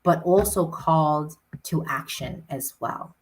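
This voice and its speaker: A woman's voice: deep voice, slow pace, rough recording. A woman city councilor answering interview questions earnestly, often tagging points with "right?".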